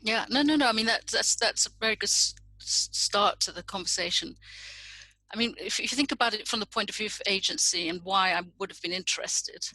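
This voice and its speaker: A woman's voice: mid-range voice, brisk, bright, forceful tone, no room sound, low-quality recording. Thoughtful middle-aged woman from Indonesia. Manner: thoughtful, searching for words. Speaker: guest. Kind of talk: reflective conversation.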